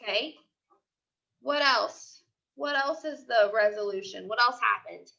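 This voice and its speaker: A woman's voice: on the high side, unhurried, gravelly, pushed and bright. A woman teacher reading a story aloud and questioning pupils in an instructional way, often repeating her phrases. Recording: dull lo-fi audio.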